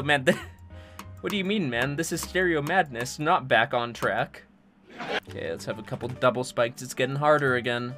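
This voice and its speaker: A young man joking and chatting casually while he narrates and streams himself building a game level. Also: medium pitch. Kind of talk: casual commentary with voice-over narration.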